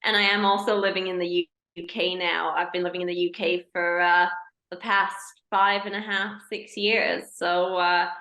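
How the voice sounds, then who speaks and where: A young woman with a Canadian accent that carries a British edge, her voice bright and crisp. She answers questions in a friendly, chatty way, pausing on small "uh"s as she goes. The recording has a noticeably echoey room around her. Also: fairly low pitch, little pitch movement. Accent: Canadian with a British tinge. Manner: friendly, chatty, with frequent "uh" restarts. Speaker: guest, racing driver turned engineer. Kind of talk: casual interview answers.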